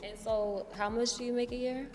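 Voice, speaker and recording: A woman's voice: fairly high pitch, fairly even intonation, fairly quick, in a large hall. A woman on a dating game show who asks questions in a hesitant, hedging way. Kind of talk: casual dating-show conversation.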